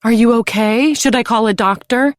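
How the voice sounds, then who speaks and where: A woman voicing an eager, fussy young mother who talks in a high, bright, insistent way. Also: normal speed, no echo, studio quality, close to an everyday voice.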